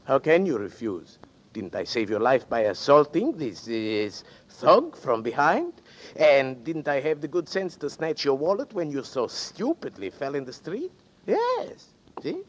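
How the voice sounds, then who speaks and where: A man's voice: mid-range voice, huge pitch swings, a little slow. An actor playing a smug, mock-polite male blackmailer in a 1940s radio drama, taunting and theatrical. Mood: gloating. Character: sly, mocking male blackmailer, scornful and smug. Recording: dull 1940s radio broadcast.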